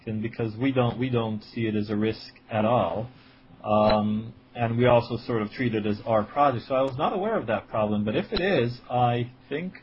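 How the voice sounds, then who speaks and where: A man in his forties from Israel, answering interview questions with many fillers and restarts, and a slight cold. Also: mid-range voice. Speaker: guest, writer and editor. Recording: dull lo-fi sound with faint noise.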